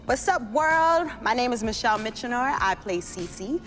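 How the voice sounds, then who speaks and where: A woman from New Jersey, an actor introducing herself with a casual shout-out to viewers. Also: on the high side, expressive, normal speed, poor audio. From New Jersey, United States. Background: music.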